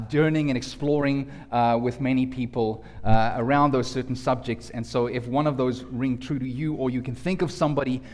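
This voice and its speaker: A man preaching a sermon as pastor, earnest, often restarting and repeating words. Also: medium pitch. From South Africa. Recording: long hall reverb.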